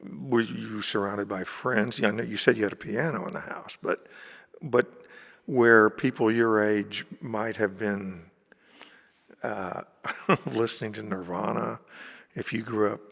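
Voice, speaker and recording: A man's voice: fairly low pitch, fairly even intonation, measured pace, vocal fry, a bit bright, big-room sound. A man hosting a casual interview, asking curious, rambling questions. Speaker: interviewer, show host.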